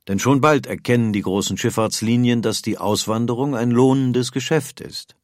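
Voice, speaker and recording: A man narrating a scripted documentary in a measured, factual manner. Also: mid-range voice, no echo, studio quality. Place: dry studio.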